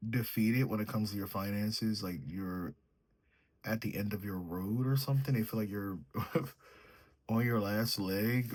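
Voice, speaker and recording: A young man reads tarot in a mellow, sleepy manner, musing out loud over each card. His voice sits low and has a husky, breathy edge. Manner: sleepy, mellow, thinks aloud while drawing cards. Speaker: tarot reader. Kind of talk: solo tarot reading, casual chat.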